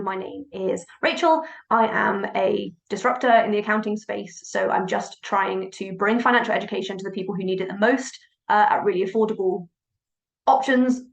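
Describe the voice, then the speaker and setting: A chatty woman welcomes people to a webinar, her voice carrying a gravelly fry. She sounds bubbly and informal, and the room around her adds a noticeable echo. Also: medium pitch, fairly quick. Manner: bubbly, chatty, swears casually. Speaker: webinar host, accountant. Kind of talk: enthusiastic webinar introduction. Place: home office.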